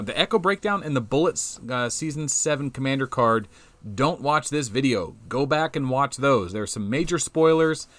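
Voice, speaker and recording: A young man with a mid-range voice that has gone a little hoarse talks through a game replay in a loose, thinking-aloud way. He restarts sentences often and trails off into quieter asides. The sound is dry and close, with no room around it. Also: mid-range voice, no room sound. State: slightly hoarse, losing his voice. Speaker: game channel host. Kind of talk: casual gameplay commentary.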